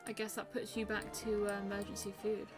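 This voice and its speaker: A woman's voice: medium pitch, monotone, brisk. A woman live streamer giving casual gameplay commentary, often muttering to herself. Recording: loud game music behind voice. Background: game music.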